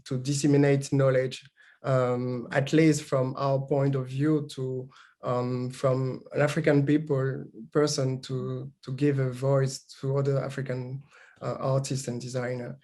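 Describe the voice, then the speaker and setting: Male panel guest, native French speaker from Gabon, hesitant English, monotone delivery. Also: medium pitch, a little slow. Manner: hesitant, many fillers and restarts. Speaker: panel guest, founder of an art platform. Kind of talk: panel discussion.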